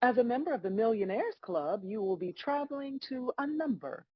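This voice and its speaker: A woman plays God as a dry, scolding celestial judge in a comedic script read. Her husky voice swings widely in pitch, stern and full of sarcasm. The sound is close and dry but somewhat dull. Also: big pitch swings, fairly quick, no echo. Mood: dry, businesslike. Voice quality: husky, raspy.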